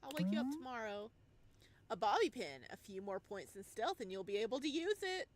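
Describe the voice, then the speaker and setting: A woman doing playful, expressive gameplay commentary, slipping between reading text aloud and exclaiming in singsong asides. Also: medium pitch, brisk. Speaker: game streamer.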